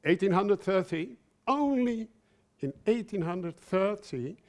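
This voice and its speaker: Slow-talking Belgian-raised man giving a talk. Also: high-pitched, lively intonation. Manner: slow, halting delivery with repeated words and joking asides. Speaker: speaker. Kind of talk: conference talk.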